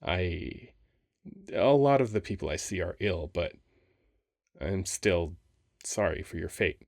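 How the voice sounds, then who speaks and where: A dry, close recording of a man playing a sphinx guarding an ancient temple in an ASMR roleplay. His voice sits low, and he rambles in a casual, halting way. He comes across as wry, weary and flirtatious. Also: animated, vocal fry, dry recording, full character voice. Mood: reluctant, flirty.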